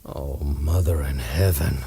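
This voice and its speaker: An actor playing a brusque, urgent hospital doctor in an old-time radio horror drama. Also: male voice, rumbling low, fairly even intonation, measured pace, gravelly, exaggerated voice. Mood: horrified awe. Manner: brusque, urgent, barking orders. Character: commanding, impatient male hospital doctor on emergency call. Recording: noisy vintage 1930s-40s radio recording.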